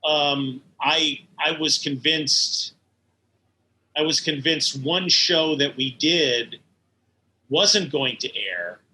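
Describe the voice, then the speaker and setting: A middle-aged or older man, an animator being interviewed, explaining things in a measured, hesitant way. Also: a little high, sharp tone, slight background noise, poor audio. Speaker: animator guest. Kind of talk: reflective interview conversation.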